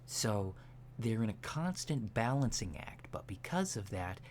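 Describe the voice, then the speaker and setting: A man plays an alien instructor in an ASMR roleplay, soft-spoken and fairly low in pitch. He lectures very slowly and deliberately, with an earnest deadpan delivery. A steady noise sits behind the lo-fi recording. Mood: calm, instructive. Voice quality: soft, hushed voice.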